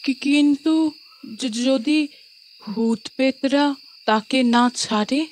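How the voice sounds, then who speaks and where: An actress playing an elderly, devoted maidservant who frets and grumbles in a folksy, superstitious way in an audio horror drama. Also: female voice, sits high, slow, a little hiss or hum, rough recording. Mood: fearful. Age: older.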